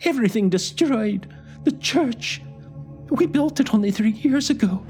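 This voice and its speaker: A shrill, raving curate. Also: male voice, lively intonation, unhurried. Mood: anguished. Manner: high, wailing, shouting. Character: frantic, half-mad male curate, shrill and raving. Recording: music playing behind.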